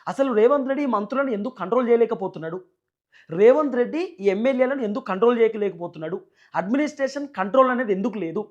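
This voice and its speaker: A man with a high voice and a Telangana Telugu accent delivers political commentary to viewers at a quick clip. His manner is emphatic and repetitive, built on rhetorical questions. The sound is a little dull and lo-fi. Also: lively intonation, darkish tone. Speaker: news channel host and political commentator.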